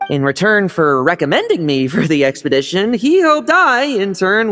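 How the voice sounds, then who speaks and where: An actor playing a sly, cheerful young knight in a game, breezy and smooth-talking. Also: male voice, high voice, fairly bright tone, full character voice.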